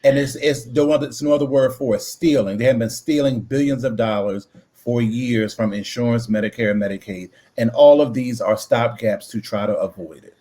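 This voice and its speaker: A man's voice: mid-range voice, normal speed, hardly any pauses, mellow. A man co-hosting a casual live audio chat, emphatic and repeating words as he makes his points.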